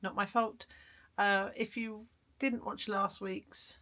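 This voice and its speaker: A woman chatting casually in an art vlog, hoarse and croaky from a cold, rambling and self-deprecating. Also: mid-range voice, level tone, slow, bright, forceful tone. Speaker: vlogger. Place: garden shed studio.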